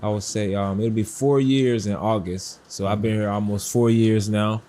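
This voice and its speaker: A man's voice: on the low side, moderate pace. A man in his late twenties from the United States, talking easily as a guest on an interview show and exclaiming often. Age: late 20s. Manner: relaxed, easygoing, exclaims wow often. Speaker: guest content creator. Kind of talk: casual interview talk.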